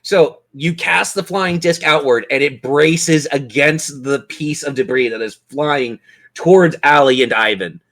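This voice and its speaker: A man's voice: a little high, measured pace, a bit bright. A man acting as game master, narrating and running a tabletop roleplaying game.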